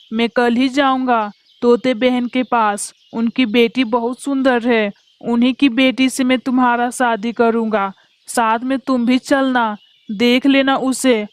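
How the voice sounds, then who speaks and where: A woman's voice: a little high, no room sound, lightly acted. A woman voicing a stern father bird in a Hindi cartoon. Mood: fond, planning. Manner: stern, dismissive. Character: harsh, cold father bird who favours his son.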